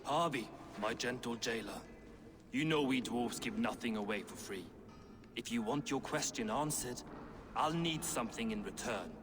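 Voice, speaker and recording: Man acting gruff, sardonic dwarf blacksmith over music. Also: mid-range voice, moderate pace, lightly acted. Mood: mocking. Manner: blunt, mocking, haggling. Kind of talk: video game cutscene acting.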